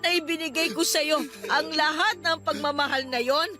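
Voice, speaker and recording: Woman acting stern hurt nanny, high pitch, bright forceful tone, reproachful, emotional, Filipino accent, radio drama. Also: steady tone, moderate pace, faint music behind. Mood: hurt, resigned. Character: stern, hurt, older Filipino nanny scolding her ward.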